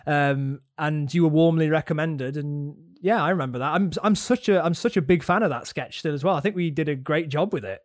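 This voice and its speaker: A man being interviewed as a guest, talking in an energetic, quick way and laughing easily. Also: a little high, no echo, studio quality. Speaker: podcast guest, director. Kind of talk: casual conversation.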